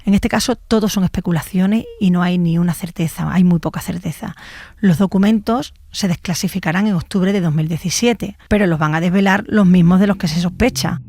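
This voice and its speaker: A woman's voice: fairly low pitch, fairly quick, darkish tone, dry recording. A woman journalist reads scripted narration for a documentary podcast.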